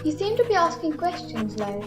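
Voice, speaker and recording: A woman's voice: high voice, big pitch swings, normal speed. A woman reading scripted narration for a spooky student radio play. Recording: music clearly behind the voice.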